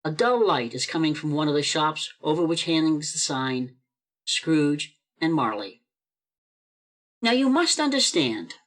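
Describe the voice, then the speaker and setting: A narrator telling a classic story for a radio play, with deliberate, emphatic and dramatic phrasing. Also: medium pitch, a bit bright. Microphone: video call microphone.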